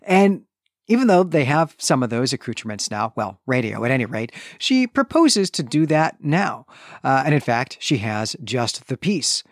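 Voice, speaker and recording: A man's voice: medium pitch, sing-song, normal speed, no echo, clean studio sound. A man hosting a conversational book discussion, explaining his reasoning at length in an expository way. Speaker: podcast host. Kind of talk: conversational literary recap and discussion.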